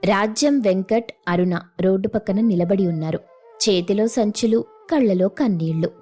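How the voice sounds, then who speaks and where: A woman's voice: medium pitch, moderate pace, mellow, breathy edge, soft background music. A woman narrating a scripted moral story as a storyteller.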